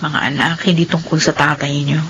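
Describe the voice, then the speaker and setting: A middle-aged woman playing a loving, self-sacrificing mother in a radio drama, soft and pleading. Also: sits low, little pitch movement, brisk, slight background noise. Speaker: mother of grown children.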